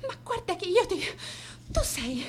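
A woman's voice: very high voice, big pitch swings, unhurried, a little mellow, a little hiss or hum, exaggerated voice. A young woman acting a vain, scornful teenage schoolgirl who narrates her days in a theatrical, confiding radio monologue. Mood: indignant. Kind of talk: dramatic radio monologue in present tense.

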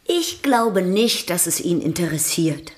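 A woman's voice: lowish voice, sing-song, measured pace, echoey room. An actress playing a stern, sceptical, teasing woman in a radio drama, with a dry, pointed, questioning manner.

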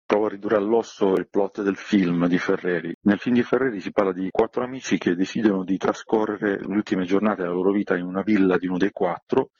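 A man with a very low, husky voice talks conversationally as an interviewed theatre director. The sound is dry and slightly muffled. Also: darkish tone, no echo. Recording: dull lo-fi line, dry.

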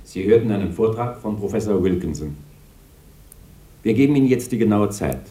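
Actor as a dark, echoing radio announcer. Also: male voice, lowish voice, expressive, fairly quick, natural voice. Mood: formal. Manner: formal, impersonal. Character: formal male radio announcer. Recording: echoey radio, faint noise.

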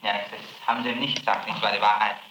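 A male prosecutor lectures and insists, talking fast in a bright, sharp voice. The recording is an echoey old tape with faint hiss behind him. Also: on the low side, level tone. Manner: insistent, lecturing, presses for the truth. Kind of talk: archival interrogation recording.

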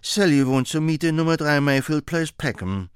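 A man's voice: on the high side, lively intonation, normal speed, smooth, dry recording, clean studio sound, pitched above the performer's own voice, lightly acted. A man voicing a rambling, wrinkled old woman. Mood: relieved, eager. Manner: folksy, rambling common speech.

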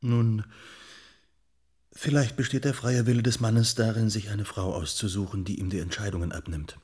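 Pensive anxious journalist, low husky voice, measured, radio drama. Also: male voice, fairly even intonation, a little mellow. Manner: reflective, measured. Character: thoughtful, anxious male journalist, candid and dryly articulate.

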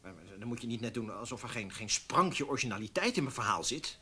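A man's voice: medium pitch, sing-song, fast talker, sharp tone. An actor in a radio play playing an indignant, self-justifying male newspaper columnist who talks hesitantly and stammers. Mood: defensive. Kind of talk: naturalistic radio play dialogue.

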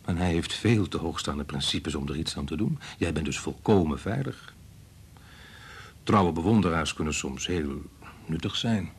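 An actor playing a cynical, pushy husband and scientist in a radio play, sardonic and dismissive. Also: male voice, a bass voice, expressive, normal speed, slightly rough, exaggerated voice. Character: cynical, pushy biologist husband and father, belittling. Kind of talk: Dutch radio play acting.

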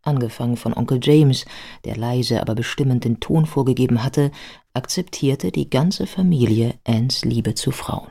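A man narrating a scripted biographical radio play in a measured, factual manner. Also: medium pitch, creaky, mellow.

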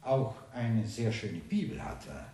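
An actor playing a pompous, self-satisfied village priest who preaches in a drawn-out, unctuous way in a radio play. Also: male voice, fairly low pitch, big pitch swings, creaky, dark tone, exaggerated voice. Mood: unctuous, preaching. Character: pompous male village padre, unctuous and well-fed. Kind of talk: German radio play acting. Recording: roomy echo around the voice.

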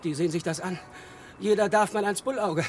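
A man's voice: sits high, brisk. An actor playing a distraught young man in a 1960s radio drama, speaking in insistent, fixated short bursts. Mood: haunted, fixated. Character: agitated, distraught young man threatening to jump. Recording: faintly noisy 1960s radio recording.

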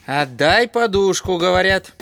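An actor playing an indignant, plucky little dream-creature hero in a children's musical audio play, earnest and excitable. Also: male voice, high voice, a little slow, vocal fry, faint music behind, heavily acted. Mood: demanding.

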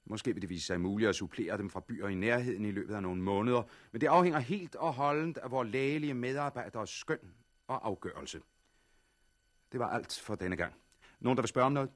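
A man acting a businesslike army colonel in a radio play. He briefs in a medium-pitched voice, official and orderly in manner. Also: expressive, close to an everyday voice.

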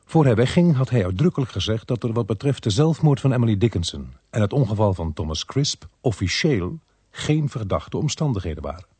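An actor playing a calm, courteous male police inspector in a radio drama, measured and a little hesitant. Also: on the low side, breathy edge. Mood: measured narration. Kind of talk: radio drama acting with first-person narration.

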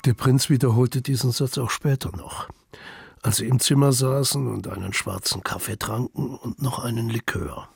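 A man with a creaky, gravelly voice, narrating a literary radio play. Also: mid-range voice, moderate pace, no echo.

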